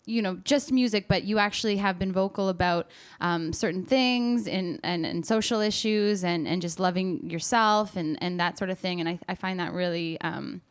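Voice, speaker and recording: A woman's voice: fairly low pitch, moderate pace, few pauses, smooth. A woman hosting a casual interview, speaking in an encouraging, affirming way. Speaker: interviewer and show host.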